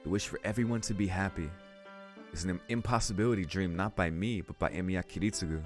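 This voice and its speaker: A man's voice: sits low, fast, soft background music. A man reading visual novel narration aloud dramatically as a let's play host.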